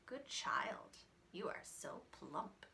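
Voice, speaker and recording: A cunning old wolf from a storybook coaxes children while posing as their loving grandmother, acted out by a woman reader. The delivery is bright and swings up and down with false affection. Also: lowish voice, sing-song, extremely slow, creaky, whispered, heavily acted. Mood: sly, hushed. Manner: coaxing, feigning affection.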